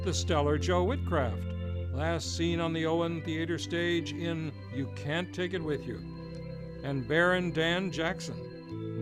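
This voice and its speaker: A man announcing an old-time radio hour, with formal, flourishing introductions. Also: on the high side. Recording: music behind.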